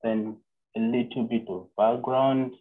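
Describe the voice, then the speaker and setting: A young man from Zambia, a physics PhD student, giving an online seminar lecture, halting with fillers and self-corrections. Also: mid-range voice, steady tone, husky. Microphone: video call. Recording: narrow phone-like call audio.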